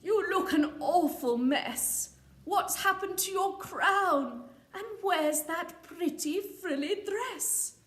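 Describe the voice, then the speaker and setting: Stern scolding king for a picture book female reader acting: loud, thundering, high, roomy recording. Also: slow pace.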